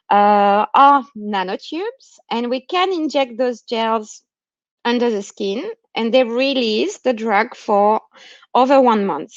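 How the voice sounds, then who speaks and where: Woman researcher w non-australian accent explaining science stuff, highish voice, dry muffled online audio. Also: no room sound. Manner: checks understanding with short tags. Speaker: university researcher giving a talk. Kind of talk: explanatory academic presentation. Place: remote online talk during lockdown.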